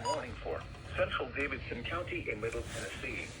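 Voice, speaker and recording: A synthetic man's voice reads formal scripted weather warnings over weather radio in a measured, impersonal way. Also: medium pitch, very animated. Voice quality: synthetic text-to-speech voice. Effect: weather radio broadcast. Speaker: automated weather radio announcer. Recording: noisy weather radio picked up in room.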